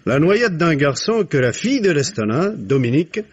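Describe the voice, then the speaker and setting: A chatty, nosy reporter. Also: male voice, a little high, very animated, moderate pace, husky, muffled recording. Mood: coaxing. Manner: chatty, coaxing. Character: friendly, nosy male reporter. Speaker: journalist. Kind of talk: 1950s radio drama acting.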